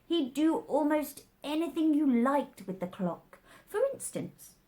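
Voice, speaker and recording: A woman's voice: on the high side, pitched above the performer's own voice, full character voice. Lady doing a snooty nutty man from a kids book, drags words out, goes up and down loads. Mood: knowing, eccentric. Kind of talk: children's storybook character voice.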